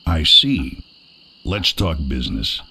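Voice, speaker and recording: Very deep, shady peddler. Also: male voice, sing-song, slow, bright, forceful tone, a little hiss or hum, full character voice. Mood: sly, curt. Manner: furtive, curt, insulting. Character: shady, gruff male back-alley map peddler. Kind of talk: cartoonish game character acting.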